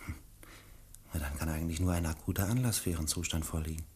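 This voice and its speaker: A man acting as a composed chief physician in a German radio mystery, speaking in a deliberate, clinical manner. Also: very deep voice, a little mellow, slightly rough. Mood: grave, clinical. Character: composed male chief physician giving a careful diagnosis.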